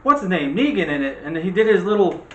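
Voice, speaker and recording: A man's voice: high-pitched, sing-song, fast talker, highs cut off. Echoey, rambling middle-aged man chatting. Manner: teasing, rambling. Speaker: co-host of a movie review channel. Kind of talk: casual chat. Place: room at home. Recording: roomy echo, faint background noise.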